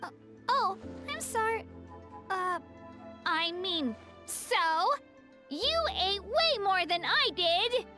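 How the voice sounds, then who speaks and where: Tiny, very high, timid little girl. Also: lively intonation, slow, long pauses, sharp tone, soft background music, exaggerated voice. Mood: flustered. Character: timid, sweet little girl, easily flustered. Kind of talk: anime-style game voice acting.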